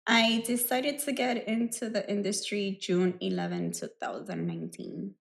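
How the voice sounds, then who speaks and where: A young woman from Miami with a Cuban-American accent, chatting casually as a podcast guest, relaxed and quick to laugh. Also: mid-range voice, creaky. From Miami, Cuban family. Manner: relaxed, laughs often, short answers. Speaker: guest, adult performer. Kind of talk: casual interview chat.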